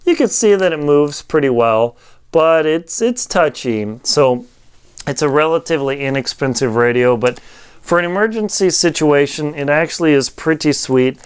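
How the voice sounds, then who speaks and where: A man giving a casual, unscripted product review, talking in a halting way as he thinks aloud while demonstrating. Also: on the high side, animated, smooth.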